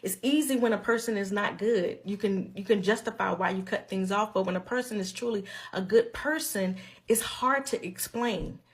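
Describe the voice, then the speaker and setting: A woman speaks in a testimonial video with a calm, earnest tone. She sounds reflective and measured at an ordinary mid pitch.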